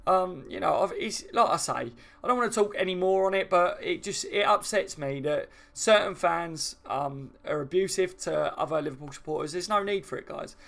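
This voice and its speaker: Casting: male football streamer, southern English accent, slightly high voice, matey and rambling, informal opinion chat. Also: moderate pace, vocal fry, few pauses, a bit bright. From southern England. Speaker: football fan channel live stream host.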